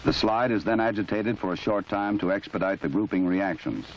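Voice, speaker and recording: Low-voiced male narrator, old film soundtrack. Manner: measured, precise, instructional. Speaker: narrator of a forensic training film. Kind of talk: formal scripted documentary narration. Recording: muffled, noisy old 1970s film soundtrack.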